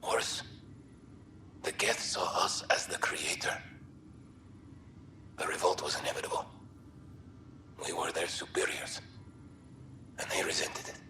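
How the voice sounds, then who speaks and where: A proud alien scholar with a deep, forceful voice in a roomy echo. He delivers history like a superior lecturer in a video game, measured and condescending. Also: fairly even intonation. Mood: scholarly, superior. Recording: echoey room, faint noise.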